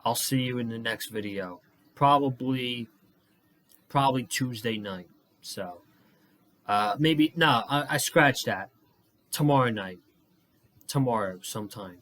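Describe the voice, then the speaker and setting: A young man reviewing a wrestling show on his channel, losing his voice and worn out, talking excitedly with a lot of swearing and rambling. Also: medium pitch, unhurried, pauses often. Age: young adult. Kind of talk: casual solo review vlog.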